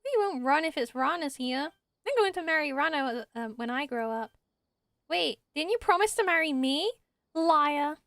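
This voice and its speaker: A woman voicing excitable, boastful small village children in a game, eager and chirpy. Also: sits high, normal speed, creaky, dry recording, full character voice. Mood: excited.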